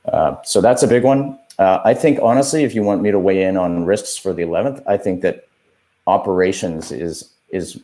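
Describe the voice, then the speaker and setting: Low, mellow-voiced man advising in a meeting. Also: moderate pace. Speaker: streaming software adviser. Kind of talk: casual advisory talk in a business meeting.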